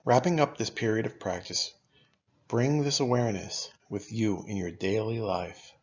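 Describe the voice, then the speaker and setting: A man leading a guided meditation as a teacher, speaking in a calm, unhurried, instructional way with long pauses. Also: on the low side, slightly rough, highs cut off.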